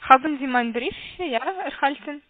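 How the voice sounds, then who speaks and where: A woman with a foreign accent making a halting sales phone call, hesitant and careful as she spells things out. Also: high voice, no room sound. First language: not German. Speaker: call centre caller. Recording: muffled, narrow phone line.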